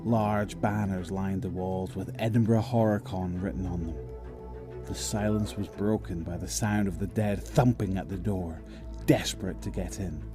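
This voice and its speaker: A low male voice , an actor's, narrates a grim zombie tale in an audio drama. The delivery is measured and descriptive, with music prominent underneath. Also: fairly even intonation, lightly acted. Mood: grim, suspenseful.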